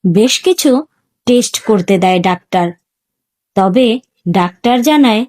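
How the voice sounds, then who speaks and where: A woman's voice: mid-range voice, lively intonation, measured pace, darkish tone. A woman narrating a story in a scripted, dramatic storytelling style.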